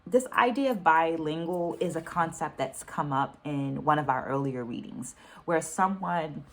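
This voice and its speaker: A middle-aged woman with a low-pitched voice shares reading takeaways with her viewers. She speaks as an educator would, articulate and deliberate, often restating a phrase to stress it. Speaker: book reading vlogger, dancer and educator. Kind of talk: reflective book-takeaway vlog, semi-scripted talk to viewers.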